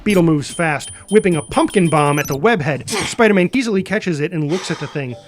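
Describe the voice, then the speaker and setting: A man's voice: a little high, animated, a little fast, faint music behind. A man narrating a screenplay, reading out scene headings and action as a scripted narrator. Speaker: narrator reading stage directions.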